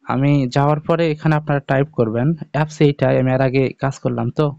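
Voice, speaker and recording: A man walks viewers through steps in an informal tutorial style, often checking that they follow. His voice is mid-pitched and relaxed with a soft, dark tone. The recording is dry and somewhat dull, lacking crispness. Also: quick pace, no echo. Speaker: tutorial video host. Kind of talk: informal step-by-step phone app tutorial.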